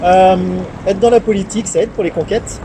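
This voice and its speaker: A male host keeps his questions short and informal. His voice is a little high. He sounds off-mic, with loud city traffic behind him. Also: steady tone, fairly quick. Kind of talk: casual interview questions. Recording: noisy street ambience, voice off-mic.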